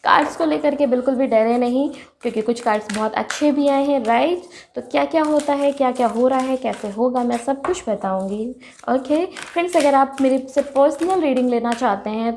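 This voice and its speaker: A woman hosting a casual tarot reading with a sore throat, clearing her throat often and chatting excitedly to her viewers as friends. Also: high-pitched, moderate pace, slight background noise. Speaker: tarot reader, channel host.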